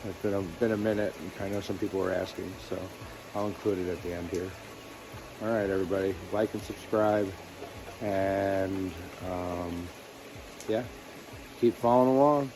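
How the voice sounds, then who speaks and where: A man with a fairly low voice records a casual hiking vlog outdoors. He rambles and thinks aloud, with clear traffic and outdoor noise around him. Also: fairly even intonation, normal speed. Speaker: hiking vlogger. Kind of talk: casual video diary talk. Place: outdoors by a road or trail.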